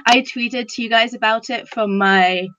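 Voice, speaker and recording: A woman's voice: on the high side, steady tone, moderate pace, fairly bright tone, no room sound. A woman speaking hesitantly and appreciatively as a panelist in a casual online discussion. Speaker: podcast panelist. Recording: slightly dull, lo-fi audio.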